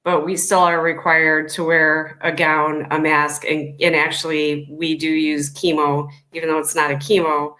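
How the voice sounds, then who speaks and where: A woman's voice: low-pitched, little pitch movement, unhurried, few pauses, bright, forceful tone. A woman co-hosting a casual panel discussion, chatty and plainspoken, reading out listener comments.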